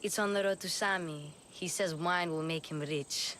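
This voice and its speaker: A woman's voice: fairly low pitch, moderate pace, fairly bright tone, a little hiss or hum, close to an everyday voice. An actress playing a playful, curious young girl in a video game. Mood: matter-of-fact. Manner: playful, teasing. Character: cheeky, curious young Greek orphan girl. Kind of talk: video game character acting.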